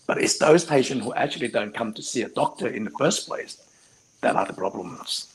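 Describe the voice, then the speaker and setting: A man of middle pitch speaking as a surgeon on an online panel. He explains in an earnest, steady way, leaning on the word "actually" often. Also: fairly quick, low-quality recording. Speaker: hair transplant surgeon, panelist. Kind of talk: live stream panel discussion.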